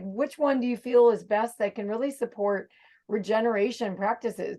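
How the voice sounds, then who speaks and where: A woman's voice: on the high side, warm tone. A woman hosting a casual video-call interview, rambling and thinking aloud with many fillers. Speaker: host.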